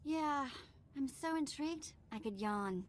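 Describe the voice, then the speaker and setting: A woman's voice: high-pitched, expressive, measured pace, slight background noise. An actress playing a sarcastic, flippant young female sidekick in a video game. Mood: mocking. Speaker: game companion character.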